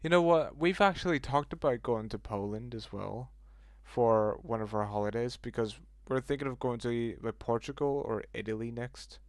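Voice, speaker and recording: A man's voice: medium pitch, moderate pace, dry recording, clean studio sound. A man casually chatting with viewers on a livestream, reacting aloud to messages and swearing now and then.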